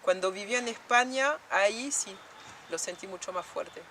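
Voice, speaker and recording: A woman's voice: mid-range voice, moderate pace, a bit bright, slight background noise. A woman from France in an interview, speaking reflectively with many fillers and restarts. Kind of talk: documentary interview.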